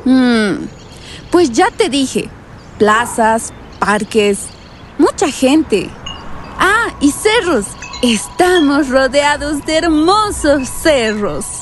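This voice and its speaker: A breezy, skeptical female vacationer, acted by a woman in an audio drama, with a high voice that swings widely. She is colloquial and sassy, quick to get annoyed, and takes her time over the words, with music playing underneath. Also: lively intonation, a little hiss or hum, full character voice. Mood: relaxed, carefree. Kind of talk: scripted audio drama acting.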